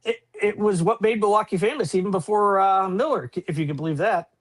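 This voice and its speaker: A man's voice: sits high, fast talker, dry recording. A man co-hosting a podcast in casual, conversational chat. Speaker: podcast co-host.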